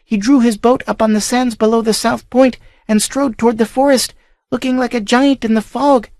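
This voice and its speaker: A man reading aloud, voicing a frightened young servant girl who pleads in a high falsetto. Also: fairly even intonation, normal speed, darkish tone, no echo, clean studio sound. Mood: fearful.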